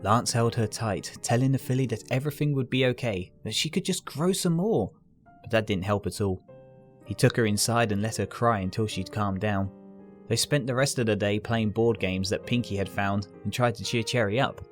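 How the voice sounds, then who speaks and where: Low-pitched man narrating a story. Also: normal speed, faint music behind. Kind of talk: scripted fan fiction story narration.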